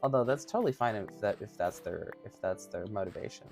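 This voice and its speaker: A man in his thirties chats casually with livestream viewers in a somewhat high voice, giggling often and poking fun at himself. Faint music plays softly behind him. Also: animated, a little fast, vocal fry. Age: 30s. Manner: giggly, chatty, self-mocking. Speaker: streamer.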